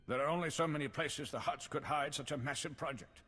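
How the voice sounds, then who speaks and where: An actor playing an earnest, anxious civilian official in a video game, formal in speech and husky. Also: male voice, fairly high pitch, fairly quick, sharp tone. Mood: worried, determined. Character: earnest, anxious male civilian government representative. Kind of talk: video game cutscene acting. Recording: long hall reverb.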